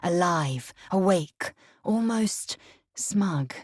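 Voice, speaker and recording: A woman narrating a video game, telling the story in a measured, atmospheric way. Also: fairly low pitch, animated, a little mellow, slightly rough, no echo, studio quality, full character voice. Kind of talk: scripted first-person game narration.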